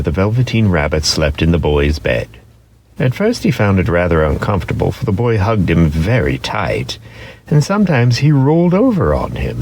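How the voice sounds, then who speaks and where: A man with a deep voice narrates a storybook in a dramatic style. His delivery swings widely in pitch and lingers on key words, with some faint noise behind him. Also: darkish tone.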